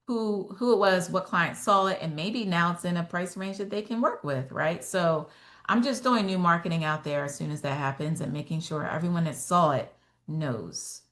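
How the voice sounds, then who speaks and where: A woman real estate agent and trainer answering podcast interview questions in a steady, self-assured way, explaining step by step with fillers. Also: lowish voice, normal speed. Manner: firm and self-assured about her own voice.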